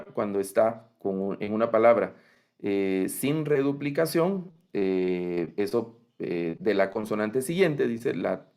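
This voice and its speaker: Low gravelly-voiced Latin American teacher. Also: male voice, darkish tone. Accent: Latin American Spanish. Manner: patient, explanatory, frequent "¿verdad?" check-ins. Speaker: Bible language teacher. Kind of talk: online class lecture.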